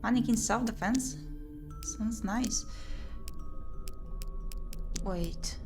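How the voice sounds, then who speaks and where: A woman doing let's-play commentary, reading game narration aloud and muttering and exclaiming to herself in an expressive way. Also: a little high, drawn-out words. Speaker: streamer playing and reading a visual novel. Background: music.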